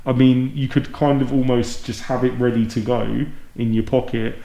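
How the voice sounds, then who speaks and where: A man's voice: medium pitch, little pitch movement, moderate pace, creaky, warm tone. A man with an English accent, chatting informally and enthusiastically as a podcast host. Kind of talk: casual chat. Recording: roomy, echoing sound.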